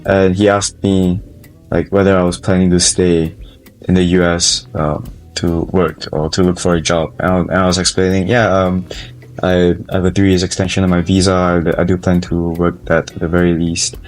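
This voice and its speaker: A man's voice: low voice, flat delivery, normal speed, faint music behind. A young man with a Malaysian accent, a college student telling his own story in an interview, thoughtful and often restarting his sentences. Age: young adult. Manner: thoughtful, with frequent restarts and hedges. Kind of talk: personal interview testimony.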